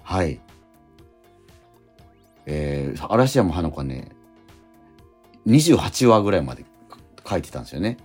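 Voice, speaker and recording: A man hosts a radio show in a deep, slightly rough voice with lively intonation. His announcements are halting and deliberate, with faint music behind them. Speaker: radio show host and scriptwriter.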